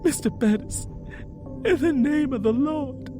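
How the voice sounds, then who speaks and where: A man voicing a desperate pleading woman, pitched high. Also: a little slow, music in the background.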